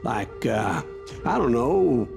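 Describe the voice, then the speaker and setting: An actor playing a guarded saloon keeper with an American accent, casually prodding a storyteller in a Western video game. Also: male voice, mid-range voice, sing-song, takes it slowly, creaky, a little mellow, heavily acted. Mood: guarded, probing. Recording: music over the voice.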